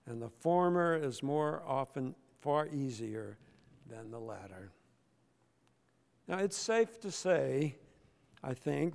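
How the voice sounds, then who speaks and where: An older man, a pastor, preaching a sermon from the pulpit with slow, emphatic delivery and deliberate pauses. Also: on the high side, vocal fry, darkish tone. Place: large church sanctuary, at the pulpit. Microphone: pulpit microphone. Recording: long church reverb.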